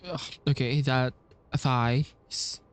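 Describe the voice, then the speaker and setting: A man's voice: medium pitch, steady tone, very slow, slight background noise. A man giving casual, playful, exclamatory reactions while playing a video game.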